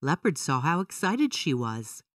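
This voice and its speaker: Animated, lower-pitched woman storyteller. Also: unhurried, dry recording, clean studio sound, distant mic. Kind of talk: scripted children's story narration.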